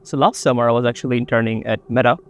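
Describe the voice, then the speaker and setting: A young man from Bangladesh, a college student, answering interview questions in a relaxed, rambling way with lots of fillers. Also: mid-range voice, racing. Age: young adult.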